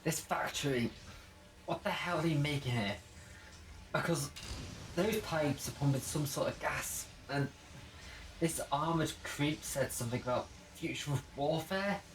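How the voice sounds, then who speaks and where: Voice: mid-range voice, a little slow, slight background noise, close to an everyday voice. An actor playing a blunt, inquisitive companion in a sci-fi audio drama, suspicious and analytical. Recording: slightly distant from the mic.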